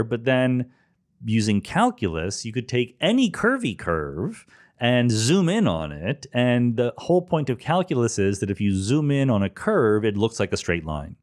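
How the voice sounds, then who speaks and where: A man hosting a solo talk, explaining in a didactic but conversational way with emphatic stresses. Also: mid-range voice. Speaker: podcast host and physics explainer. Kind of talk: solo explanatory science talk, informal lecture.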